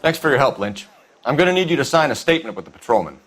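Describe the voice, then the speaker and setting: Brisk, animated police detective. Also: male voice, medium pitch, husky. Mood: businesslike. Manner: clipped, formal, authoritative questioning. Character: brisk, stern 1940s police detective, by-the-book questioner. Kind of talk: scripted video game dialogue, 1940s crime drama.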